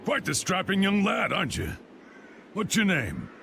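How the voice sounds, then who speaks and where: Game role: gruff old American chieftain, gravelly, folksy and commanding, very animated pitch. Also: male voice, moderate pace, a little hiss or hum, full character voice. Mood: gruff, brusque. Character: blustery, hearty old male guild boss spoiling for a fight. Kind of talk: video game voice acting.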